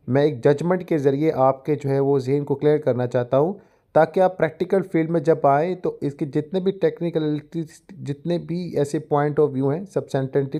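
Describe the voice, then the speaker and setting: A man's voice: fairly high pitch, warm tone. A man with a Pakistani accent explaining material like a lecturer, in formal phrasing sprinkled with English terms. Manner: formal Urdu with frequent English legal terms. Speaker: law education YouTuber. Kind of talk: explanatory lecture mixed with reading out a judgment.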